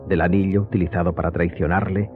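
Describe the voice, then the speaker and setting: A male narrator reads scripted radio drama narration. His voice is very deep. The audio is narrow and phone-like, with clear music underneath. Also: fairly even intonation, fast talker. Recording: tinny, narrow-band audio.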